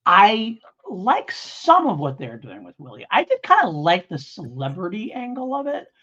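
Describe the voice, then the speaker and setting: Podcast reviewer thinking out loud, kinda husky voice, rambly and hedgy, muffled lo-fi sound. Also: medium pitch, wildly swooping pitch, normal speed. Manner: hedging, rambling, thinking aloud. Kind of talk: casual review discussion. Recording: dull lo-fi audio.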